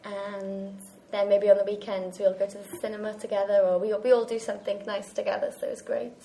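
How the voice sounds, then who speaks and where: A woman's voice: medium pitch, level tone, a little fast, gravelly, warm tone. A young woman from Wales, a university student chatting casually and laughing often. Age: young adult. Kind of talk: casual chat.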